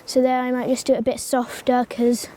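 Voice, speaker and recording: A woman's voice: on the high side, completely flat delivery, fairly quick, dark tone. Chatty teen girl with a cold. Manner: chatty, rambling, many ums and likes, talks to the pony. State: has a slight cold. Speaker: horse-care vlogger. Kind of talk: casual how-to tutorial. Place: outdoor stable yard beside a pony.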